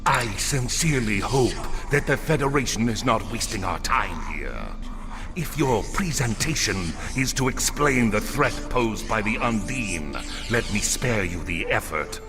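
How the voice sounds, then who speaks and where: An actor playing a proud, impatient alien warrior ambassador in a video game, curt and haughty. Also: male voice, on the low side, animated, measured pace. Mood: impatient, disdainful. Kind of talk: scripted video game dialogue. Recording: clear music behind the voice.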